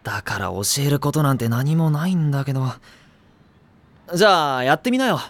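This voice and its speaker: An actor playing a level-headed, exasperated teenage schoolboy in an anime audio drama, dry and matter-of-fact. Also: male voice, a little high, animated, brisk. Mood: coaxing. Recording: prominent music behind the voice.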